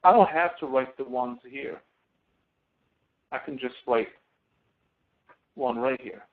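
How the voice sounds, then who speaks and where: A man teaching a live online software lesson, explaining step by step in a halting way as he demonstrates. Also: medium pitch. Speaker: instructor. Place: online screen-share session. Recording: thin phone-like audio.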